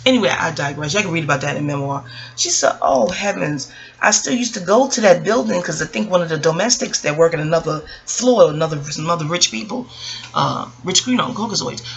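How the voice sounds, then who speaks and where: A woman's voice: lowish voice, expressive, a little fast, rough recording. An American woman vlogging in a casual, reflective storytelling monologue, rambling off on tangents and talking straight to her listeners. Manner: rambling and digressive, addressing listeners directly.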